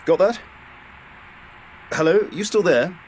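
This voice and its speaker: An actor playing a polite, evasive British military officer in a radio drama, hesitant and officious. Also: male voice, high voice, expressive, slow, slight background noise, low-quality recording. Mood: brisk, helpful.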